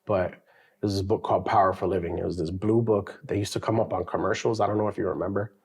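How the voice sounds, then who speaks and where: A man co-hosting a faith podcast, sharing his childhood story in a casual, animated way with some slang. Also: low voice, fast talker, vocal fry. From New York. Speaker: podcast co-host. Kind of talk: casual testimony chat.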